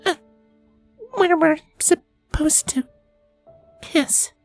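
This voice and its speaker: A man's voice: very high voice, drawn-out words, faint music behind, voice pushed up, full character voice. A man voicing a shy, flustered young female pony in a storybook reading, muttering hesitantly and trailing off. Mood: shy, nervous.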